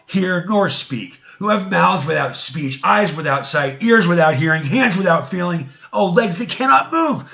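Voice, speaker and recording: Man acting out zealous son, forceful and creaky. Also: sits high, voice pushed up, exaggerated voice. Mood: righteous outrage. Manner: declamatory, exhorting. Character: indignant righteous young son, zealous preacher against idols. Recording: dull, lo-fi sound.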